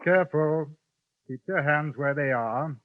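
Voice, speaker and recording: An actor playing a polite, drily menacing gentleman gunman, who also narrates in 1950s radio-drama style. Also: fairly high pitch, measured pace, close to an everyday voice. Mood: cool menace. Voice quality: crisp, formal, drily menacing. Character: cool, polite gentleman gunman, middle-aged man. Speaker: narrator and lead. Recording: tinny old radio broadcast, faint hiss.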